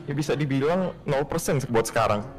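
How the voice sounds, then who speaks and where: Guy doing a calm know-it-all dragon god for minecraft roleplay, explains stuff bluntly, music under him. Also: mid-range voice, brisk, close to an everyday voice, low-quality recording. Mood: grave. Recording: music behind the voice.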